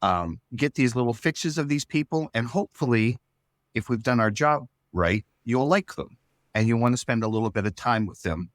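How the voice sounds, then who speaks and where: Male, average pitch, very little room sound. Casual creator interview, halting, thinking out loud. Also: lively intonation, no room sound, clean studio sound. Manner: rambling, halting, thinking aloud. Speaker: show writer and creator.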